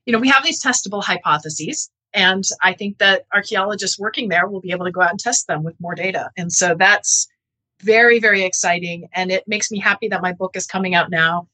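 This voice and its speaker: A woman's voice: medium pitch, a little fast, bright, forceful tone, rough recording. A woman speaking as an expert guest in a conversational academic interview, explaining earnestly and often checking in with "right?". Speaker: interview guest, geneticist and author.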